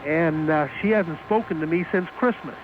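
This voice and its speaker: A man phoning in to a radio show, chatting casually in a halting, mock-aggrieved way. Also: a little high. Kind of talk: casual phone-in chat. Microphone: telephone. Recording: tinny noisy phone line on radio.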